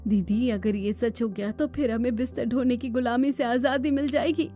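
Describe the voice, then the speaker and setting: A woman's voice: on the high side, steady tone, moderate pace, warm tone, faint music behind, lightly acted. A woman voicing a spirited, outspoken young village daughter-in-law in a cartoon. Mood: hopeful. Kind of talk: Hindi cartoon dialogue acting.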